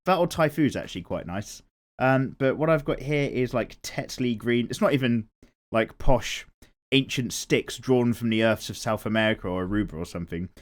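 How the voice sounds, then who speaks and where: A man's voice: medium pitch, expressive, moderate pace. A man with an English accent and a nasal voice, casually rambling and reading viewer comments aloud while he sketches. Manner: chatty and rambling, swears casually. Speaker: art channel host.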